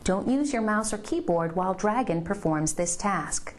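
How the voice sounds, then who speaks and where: A woman reading scripted instructional narration for a software tutorial, giving clear step-by-step directions. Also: mid-range voice, expressive, moderate pace.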